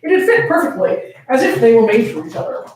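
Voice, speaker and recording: A woman's voice: fairly high pitch, sing-song, quick pace, darkish tone. A playful, easily amused woman co-hosting a fan fiction reading, shifting between dramatic narration and casual chat. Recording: very echoey room, distant from mic.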